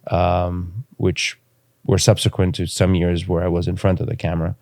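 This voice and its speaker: A man from Romania, a native Romanian speaker, speaking reflectively as an interview guest and pausing thoughtfully to choose his words. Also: deep voice, little pitch movement, darkish tone, dry recording, studio quality. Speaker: podcast guest, filmmaker.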